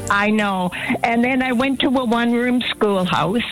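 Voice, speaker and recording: An older woman, recalling her past in a slow, fond way on a radio show. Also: a little high, low-quality recording. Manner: slow, fond storytelling with little laughs. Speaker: featured listener. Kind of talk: casual radio interview. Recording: radio broadcast with music underneath.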